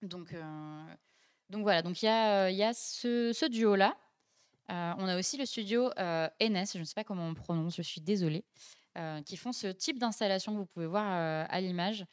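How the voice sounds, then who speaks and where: A young woman casually explaining design exhibitions on a livestream, with many filler pauses and self-corrections. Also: mid-range voice, smooth. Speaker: guest presenting design exhibitions.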